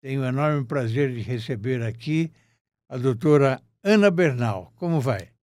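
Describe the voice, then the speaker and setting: A man's voice: mid-range voice, lively intonation, normal speed, a little mellow, dry recording. A man hosting a podcast interview, courteous and formal in his introductions. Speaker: podcast host.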